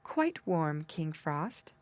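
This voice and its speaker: A gentle, courteous young storybook maiden, played by a woman, soft and trembling with cold. Her pitch moves up and down, in a dry, close recording. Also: sing-song, very slow, dry recording, heavily acted. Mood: faint, freezing. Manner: soft, meek, gasping with cold.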